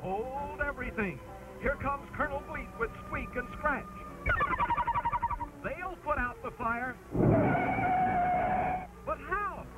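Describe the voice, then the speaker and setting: High-pitched man, theatrical storybook narrator. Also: slow pace, long pauses, poor audio. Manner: theatrical, emphatic. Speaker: narrator, live stream host. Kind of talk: dramatic reading, old-fashioned children's adventure narration. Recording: music under the narration.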